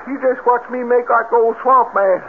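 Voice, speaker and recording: An actor playing a frightened, simple young backwoods prankster, rambling excitably in a 1970s radio mystery. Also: male voice, very high-pitched, moderate pace. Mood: shaken, excited. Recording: tinny 1970s radio recording, faint noise.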